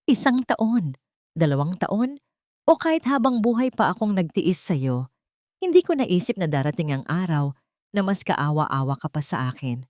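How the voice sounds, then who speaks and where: A woman voicing a defiant, long-suffering wife in an audio drama, soft-spoken but sharp-edged. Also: on the low side, big pitch swings, moderate pace, dry recording, studio quality. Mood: defiant, cutting.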